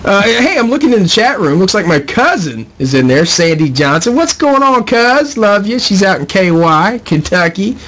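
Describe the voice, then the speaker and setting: A man's voice: high voice, very animated, moderate pace, few pauses, a bit bright. A man hosting a casual paranormal radio show, joking and laughing as he rambles through banter with his co-host. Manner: jokey, laughs often, rambling.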